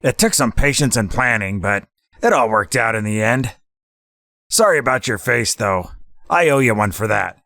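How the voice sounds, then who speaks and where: Man playing a cool, gravelly gangster boss. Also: medium pitch, animated, moderate pace, pushed and bright, dry recording, studio quality. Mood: cool, assured. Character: cool, scheming gangster taking over as boss. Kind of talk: hardboiled 1940s gangster audio drama acting.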